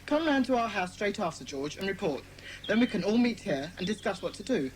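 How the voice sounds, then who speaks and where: A woman's voice: medium pitch, very animated, fairly quick, noisy background, lightly acted. A woman acting a bossy, sensible schoolboy club leader in a dramatised children's audiobook. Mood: reassuring. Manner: commanding, sensible.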